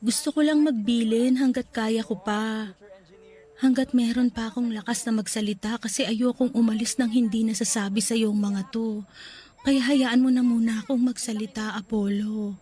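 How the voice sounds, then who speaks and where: A woman playing a gravely ill young woman in a sentimental radio romance drama, loving, earnest and resigned to dying. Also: a little high, steady tone, normal speed, dark tone. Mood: resigned, pleading. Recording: music under the voice.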